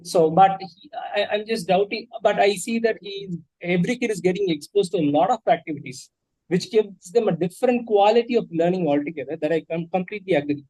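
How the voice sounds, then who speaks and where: A man's voice: sits high, a little fast, a little mellow, rough recording. A father asking questions hesitantly, with many fillers and restarts. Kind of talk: casual question.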